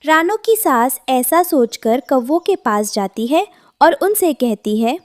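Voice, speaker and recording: High-voiced woman narrating, very expressive. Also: slow pace, dry recording, studio quality. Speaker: story narrator. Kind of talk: scripted cartoon story narration.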